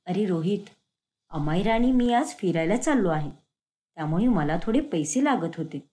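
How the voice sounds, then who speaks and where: A woman's voice: fairly low pitch, animated, normal speed, dark tone, pitched below the performer's own voice, lightly acted. A woman voicing an earnest young wife in a cartoon story. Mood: light. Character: young wife, gentle then hurt.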